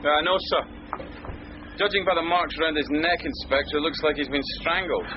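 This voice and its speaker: A man acting an earnest, deferential policeman in a British radio drama. His voice is slightly high and hesitant, on a muffled and clearly noisy recording. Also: fairly quick, close to an everyday voice. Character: deferential male police officer in radio mystery.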